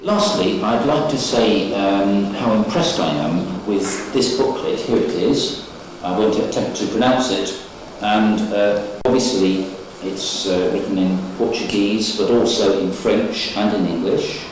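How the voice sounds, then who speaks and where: Man, formal testimonial, hesitant measured delivery, heavy room echo, background noise. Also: on the low side. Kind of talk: unscripted spoken testimonial. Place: echoing indoor room. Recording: very echoey, distant microphone, noisy background.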